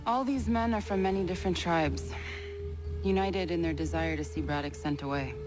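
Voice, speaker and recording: An actor playing a guarded, resolute ally in a video game, terse and commanding. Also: male voice, on the high side, moderate pace, close to an everyday voice. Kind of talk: video game cutscene acting. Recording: music behind the voice.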